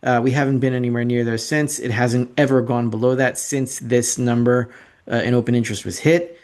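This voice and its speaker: A man's voice: medium pitch, fairly even intonation, brisk, darkish tone. A man hosting an informal financial commentary over slides, opinionated and sardonic, often repeating phrases for emphasis. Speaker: financial commentator and show host.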